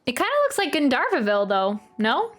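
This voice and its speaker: A woman's voice: high-pitched, big pitch swings, a little fast. A woman doing casual live commentary as she plays a game, exclaiming and repeating short phrases as she reacts. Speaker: game streamer reacting to a playthrough. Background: game music.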